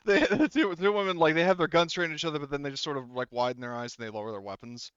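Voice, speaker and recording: A man working as game master, narrating a tabletop game in a casual, halting, conversational way. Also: fairly high pitch, a bit bright, clear, resonant tone, no echo, muffled recording.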